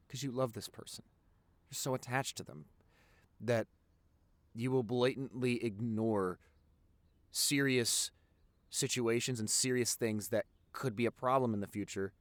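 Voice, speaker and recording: A man playing a sincere, protective young boyfriend in an intimate audio roleplay, rambling earnestly with halting repeats and pauses. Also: fairly low pitch, no echo, natural voice.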